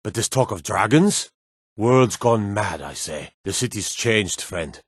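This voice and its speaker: Low-voiced gruff fantasy city guard. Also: male voice, lively intonation, unhurried, no echo, clean studio sound. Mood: musing. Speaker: town guard. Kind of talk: video game character voice lines.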